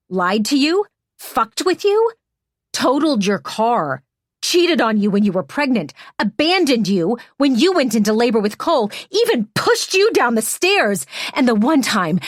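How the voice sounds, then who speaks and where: A woman narrator voicing a furious adult woman who yells and curses in relentless accusations. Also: deep voice, slightly rough, dry recording, clean studio sound. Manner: yelling, cursing, relentless accusations.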